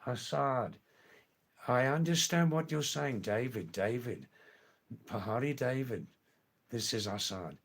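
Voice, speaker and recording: Slow gravelly older man chatting. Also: medium pitch, lively intonation. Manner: slow, rambling, repeats words and phrases. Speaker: live streamer. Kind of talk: casual live stream chat reading viewer comments.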